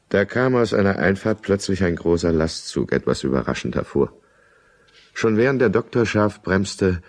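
An actor in a German radio drama playing a bitter, runaway alcoholic husband, both as literary narrator and as a peevish, commanding character. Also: male voice, a bass voice, full character voice. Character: bitter, runaway alcoholic middle-class husband telling his downfall.

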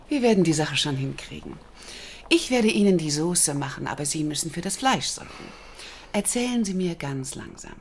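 A woman's voice: low voice, animated, normal speed, gravelly, slight background noise. A woman playing a self-assured, teasing French society lady who mentors and commands, in a German radio play. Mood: amused, brisk.

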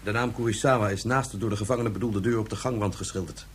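A dutiful soldier in a science fiction radio play, acted by a man. The voice is low and quick, with formal official phrasing. A faint hum and noise sit on the recording. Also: steady tone, close to an everyday voice. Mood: formal, obedient. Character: dutiful male soldier reporting to his colonel.

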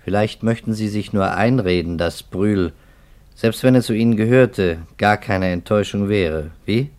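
An actor playing a skeptical, coolly ironic investigator in a 1950s German radio play, speaking in a measured, pointed way. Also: male voice, lowish voice, a little mellow, close to an everyday voice. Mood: gently ironic.

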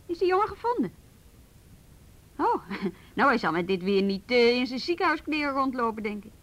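A woman's voice: fairly high pitch, very animated, normal speed, a bit bright. An actress in a radio play playing a firm but kindly policewoman who is someone's superior at work. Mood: businesslike.

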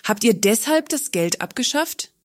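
An earnest, curious Earthling woman played by an actress in a satirical radio play. Her voice is on the high side and theatrically articulate. The sound is close, with no room echo. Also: animated, normal speed, lightly acted. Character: curious, earnest female Earthling questioning an alien.

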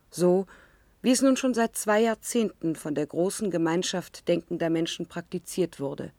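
An actress playing an earnest commune member, recounting events precisely and soberly in a 1970s German radio drama. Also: female voice, mid-range voice, darkish tone, dry recording, close to an everyday voice. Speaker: radio play narrator.

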